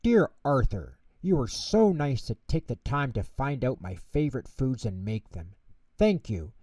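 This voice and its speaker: A man reading a children's bedtime story in an expressive, theatrical narration style, recorded in a roomy space from a distance. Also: medium pitch. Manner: measured, theatrical delivery with character voices. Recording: roomy echo, distant microphone.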